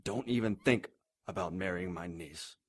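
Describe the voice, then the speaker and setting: An actor playing a haughty, scheming, dying old man in an audio drama, formal and indignant, often clearing his throat. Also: low voice, moderate pace. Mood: cold, scheming. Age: older. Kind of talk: audio drama villain acting.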